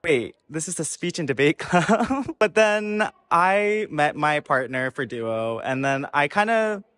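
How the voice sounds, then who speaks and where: A man's voice: on the high side, animated, unhurried, fairly bright tone. A teenage male student casually telling his story in an interview. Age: teen. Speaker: student competitor being interviewed.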